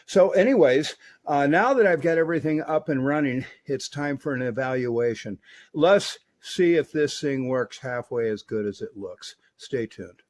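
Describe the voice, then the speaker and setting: A man casually reviewing and testing a vintage radio in his workshop, relaxed and peppered with filler words. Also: medium pitch, normal speed.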